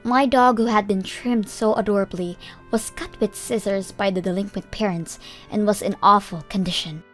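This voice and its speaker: A woman's voice: mid-range voice, steady tone, moderate pace, soft background music. A woman reading a scripted first-person story as the narrator of a comic dub.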